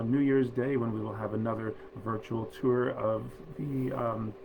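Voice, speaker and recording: A man's voice: medium pitch, vocal fry, noisy background. A man hosting a literary reading, speaking as a presenter and storyteller of old tales. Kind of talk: informal introduction, then storybook reading aloud.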